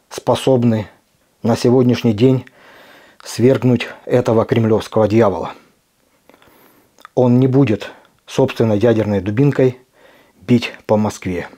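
A middle-aged man from Ukraine speaks straight to his viewers in a mellow, darker voice at an ordinary pitch. His delivery is earnest and emphatic, and he leans on deliberate pauses. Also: steady tone. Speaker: video blogger. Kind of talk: impassioned direct address to camera.

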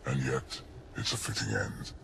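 An actor playing a cold, arrogant alien warlord in a game, gravelly, slow and imperious, with contempt in his delivery. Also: extremely low pitch, very animated, a little hiss or hum, exaggerated voice. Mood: scornful, menacing. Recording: loud music underneath.

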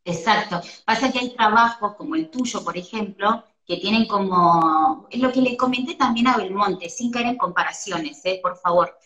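A woman's voice: mid-range voice, moderate pace, hardly any pauses, fairly bright tone, reverberant, rough recording. A woman in her thirties with a Rioplatense Spanish accent, hosting an interview over a video call and working out her questions as she speaks. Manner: thoughtful, searching for words. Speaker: host, interviewer. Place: room at home.

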